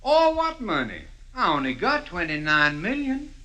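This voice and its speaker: Loud-mouthed Texan oilman, high pitch, swooping folksy drawl, hot-tempered, vintage radio comedy, noisy old recording. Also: wildly swooping pitch, normal speed, heavily acted. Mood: folksy complaint. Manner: folksy drawl, exaggerated delivery. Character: bragging, hot-tempered rich Texas oilman, folksy and dim. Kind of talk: 1940s American radio comedy acting.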